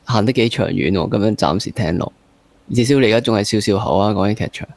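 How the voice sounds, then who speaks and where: A man with a Hong Kong Cantonese accent interviewing casually, summing up and gently challenging with leading questions. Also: fairly low pitch, fast, gravelly, dry recording. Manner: probing, summing up and playfully challenging the guest. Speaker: podcast interviewer.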